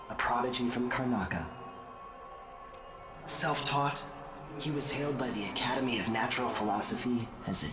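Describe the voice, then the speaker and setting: A man playing a cryptic, detached supernatural storyteller, narrating deliberately in a video game. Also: medium pitch, natural voice.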